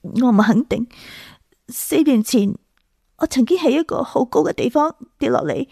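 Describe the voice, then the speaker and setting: A young woman in a radio drama, pensive and wistful. Her voice sits fairly high with a marked creak, recorded close and dry, and she speaks in a hesitant, inward way. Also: lively intonation, moderate pace, a little mellow, no echo, exaggerated voice. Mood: wistful, reflective.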